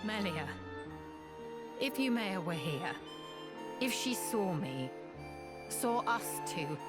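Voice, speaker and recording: A woman's voice: medium pitch, sing-song, takes it slowly. A woman playing a proud, bitter young retainer in a video game drama, speaking in a guarded, formal way. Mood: grave, wistful. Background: loud orchestral strings music.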